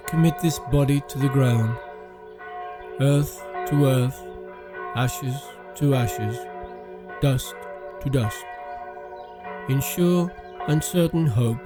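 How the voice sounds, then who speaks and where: A man's voice: medium pitch, takes it slowly, pauses often, music in the background, lightly acted. A man playing a grave village vicar reciting funeral rites ceremonially in a podcast drama. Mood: solemn. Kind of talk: solemn liturgical recitation in audio drama.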